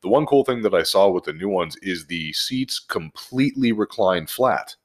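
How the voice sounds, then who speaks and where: A man's voice: sits low, animated, moderate pace. A man co-hosting a podcast, swapping crude, joking anecdotes in casual slangy talk with plenty of swearing. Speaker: podcast co-host.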